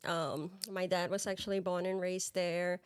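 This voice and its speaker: A woman's voice: lowish voice, little pitch movement, normal speed, clear, resonant tone, no echo. A woman from Singapore chatting casually as a guest on a podcast. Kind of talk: casual chat.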